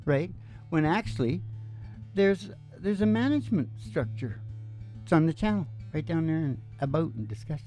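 A man's voice: on the high side, expressive, normal speed, darkish tone. A man in his sixties talking casually and jokingly on stage between songs, in a rambling conversational way, into a vocal microphone. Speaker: musician and show host. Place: small live music venue, on stage. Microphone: stage vocal microphone on a stand. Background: acoustic guitar.

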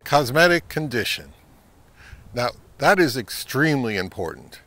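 A middle-aged man hosting an advice vlog, speaking to camera in an emphatic way with deliberate pauses. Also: medium pitch, sing-song, loud music behind the voice. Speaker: host of an audio gear channel. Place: home listening room, later an open grassy field.

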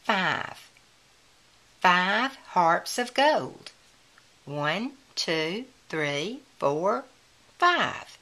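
Woman reading slowly, with lively swooping pitch. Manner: deliberate, counting out for children. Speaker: children's book reader. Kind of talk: read-aloud of a children's picture book.

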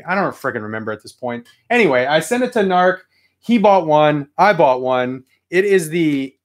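A man in his thirties, getting over a cold, chatting casually to a live stream chat from a toy-filled room at home. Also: a little high. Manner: rambling, chatty, reads chat aloud. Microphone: webcam at desk.

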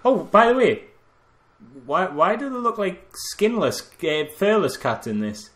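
A man's voice: sits high, very animated, measured pace, a bit bright. A man co-hosting a podcast, rambling through casual, mocking film chat in an incredulous, mildly sweary way. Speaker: podcast co-host.

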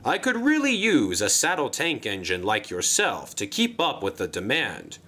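A pompous railway controller as a man acts him out for a children's train tale. He is formal, effusive and eager, with swooping high inflections and a bright, forceful delivery. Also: huge pitch swings, moderate pace, heavily acted. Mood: hearty, eager. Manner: formal, effusive, flustered when surprised.

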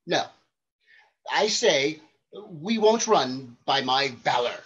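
A woman's voice: low-pitched, animated, takes it slowly, fairly bright tone, husky, highs cut off, full character voice. A woman voicing a cowardly country squire faltering nervously before a duel in a stage play.

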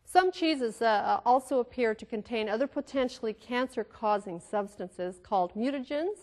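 A middle-aged woman hosting a cooking show, explaining health facts about food to the camera in a measured, informative way, with now and then a filler, on a studio kitchen set with a lapel mic. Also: a little high, animated, darkish tone. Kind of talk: scripted health lesson to camera.

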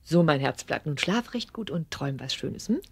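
A woman's voice: low-pitched, expressive, moderate pace, breathy edge, no echo, full character voice. A young woman playing an affectionate mother in a children's radio play, tender and coaxing. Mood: tender, soothing. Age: young adult.

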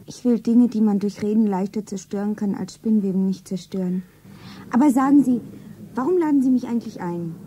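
A woman's voice: mid-range voice, brisk, dark tone, slightly rough, natural voice. An actress playing a naive, cheeky young shop girl in a girlish, artless manner in a radio play. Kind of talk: 1970s German radio play acting. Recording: noisy 1970s radio play recording.

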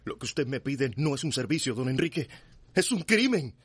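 An actor playing a frightened, pleading doctor with a conscience in a radio soap opera. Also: male voice, medium pitch, big pitch swings, normal speed, husky. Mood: horrified, reluctant. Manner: anguished, hesitant pleading.

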